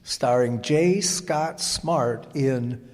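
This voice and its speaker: A man announcing in an old-time radio style, with measured, soothing salesmanship for ads and show introductions. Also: mid-range voice, a little mellow, long reverb tail.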